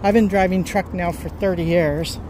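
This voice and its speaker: A middle-aged woman truck driver chatting casually on a vlog while walking her dogs, rambling and unhurried, recorded on a phone outdoors. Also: lowish voice, fairly even intonation, mellow. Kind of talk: casual walk-and-talk vlog. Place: outdoors by a road and parking lot. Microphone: phone held while walking. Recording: outdoor phone audio with traffic noise. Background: passing cars and outdoor ambience.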